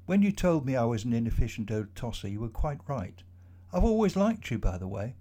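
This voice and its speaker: A man voicing a sad, weary middle-aged boss who talks calmly and reasonably in a storybook reading. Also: medium pitch, fairly quick.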